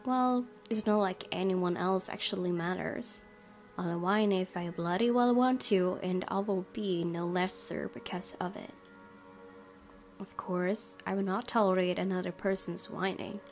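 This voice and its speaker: A woman's voice: lowish voice, a little slow. Woman reading a game aloud, music behind. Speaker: gaming video host. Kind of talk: reading visual novel narration aloud, with casual commentary.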